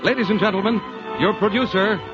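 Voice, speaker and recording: Male vintage announcer, high projected pitch, formal, dull recording with prominent music behind. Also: brisk. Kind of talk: formal 1940s radio announcing. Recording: dull, lo-fi 1940s radio recording. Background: loud music.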